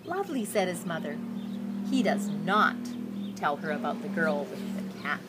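A woman's voice: sits low, unhurried. An older woman reading a children's picture book aloud expressively, drawing out descriptive phrases with care. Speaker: storybook reader. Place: outdoors on a garden patio. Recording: outdoor recording with background noise.

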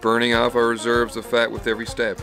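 A man's voice: mid-range voice, monotone, quick pace, sharp tone. A man reading scripted voice-over narration for an outdoor survival series. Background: music.

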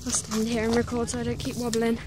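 A woman's voice: on the high side, completely flat delivery, quick pace. A woman chatting casually outdoors on a handheld camera. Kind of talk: casual chat. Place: outdoors on a coastal hillside. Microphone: handheld phone or camera. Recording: clearly noisy outdoor handheld recording.

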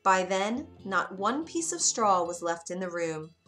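A woman narrating a children's bedtime story in an expressive, gentle way. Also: medium pitch, measured pace. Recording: soft music bed behind.

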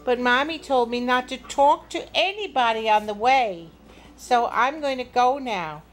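A woman's voice: a little high, lively intonation, measured pace. A woman voicing a sweet, polite and plucky little storybook girl in a sing-song, wide-eyed way. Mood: obedient.

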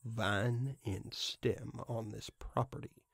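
A man's voice: mid-range voice, steady tone, takes it slowly, gravelly, slightly rough, no echo, studio quality, heavily acted. A man playing a proud, mischievous living scarecrow who guards a farm, musing in a theatrical, pompous way in an audio drama monologue. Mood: darkly amused. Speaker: voice actor.

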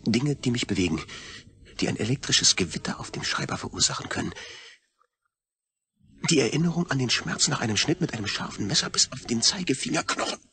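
An actor playing an anguished astronaut reduced to a brain, brooding in an intense, gravelly, often near-whispered radio drama monologue. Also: male voice, medium pitch, very animated, brisk, pushed and bright, a little hiss or hum. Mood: determined. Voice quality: husky, gravelly.

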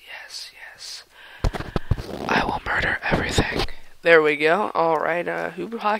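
A young man with a voice gone raspy from a cold, rambling casually while commenting on his own gameplay, sometimes muttering or whispering asides. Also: on the high side, pushed and bright, dry recording, poor audio. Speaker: gaming channel host.

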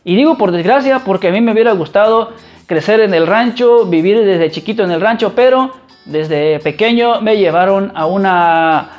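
Mexican man, informal halting vlogger. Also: high-pitched, muffled recording, faint music behind. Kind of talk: casual chat answering viewer questions.

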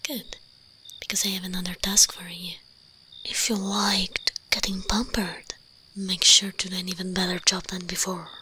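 A commanding vampire mistress, acted by a woman, whispers in an ASMR roleplay. Her voice is fairly low for a woman and breathy with a crisp, bright edge. She speaks slowly, teasing and imperious, over faint noise. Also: little pitch movement, poor audio. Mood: coolly commanding.

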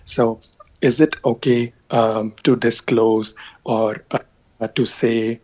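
Husky-voiced caller on a muffled line. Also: male voice, mid-range voice, steady tone, slow, warm tone. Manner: hesitant, many fillers. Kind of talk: phone-in question.

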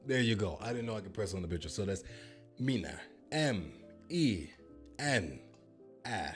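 American man, playful bragging commentary, lowish voice, faint music behind. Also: normal speed. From United States. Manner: joking, boastful, animated. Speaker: YouTuber reacting to a language app. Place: home studio with purple lighting. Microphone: handheld microphone.

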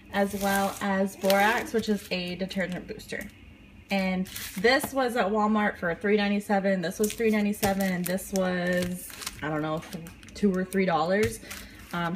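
A woman's voice: medium pitch, noisy background. Chatty young woman filming in her kitchen. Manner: chatty, many ums, talking while working. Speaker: vlogger. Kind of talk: casual how-to demonstration. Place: home kitchen, indoors.

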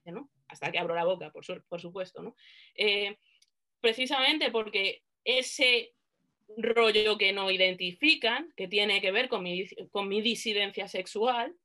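A woman in her thirties, a panel guest, talking animatedly on a video call from a dim room at home, with some fillers and restarts. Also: medium pitch. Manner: animated, gestures while talking, halting with fillers. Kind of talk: casual chat on a video call. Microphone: laptop webcam on a video call.

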